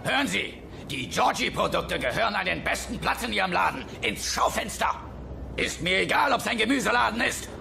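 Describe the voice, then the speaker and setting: Creaky, high-pitched bullying plush TV star. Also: male voice, expressive, brisk, music in the background, exaggerated voice. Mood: threatening, bossy. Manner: pushy, gloating, switches to syrupy. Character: bullying, greedy plush kids' TV star, falsely sweet. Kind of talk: German dubbed cartoon audio play.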